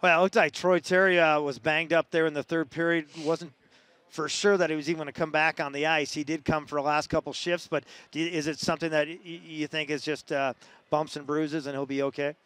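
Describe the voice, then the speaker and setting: Male radio sports host, post-game interview. Crisp, dry sound, wordy and halting delivery. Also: on the high side, little pitch movement, a bit bright, no echo.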